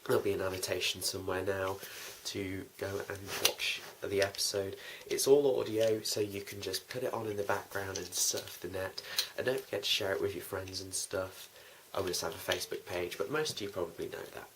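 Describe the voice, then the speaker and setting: A man's voice: deep voice, steady tone, a little fast, hardly any pauses. Man vlogging over noisy audio. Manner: informal, rambling. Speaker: host, writer and producer of a fan audio drama. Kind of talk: casual behind-the-scenes vlog talk. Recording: noisy amateur recording with clicks.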